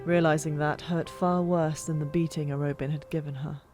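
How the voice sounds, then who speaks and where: A woman reading fiction as an audiobook narrator, dramatic and expressive, with drawn-out pauses. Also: low-pitched. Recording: music behind, older microphone. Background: music.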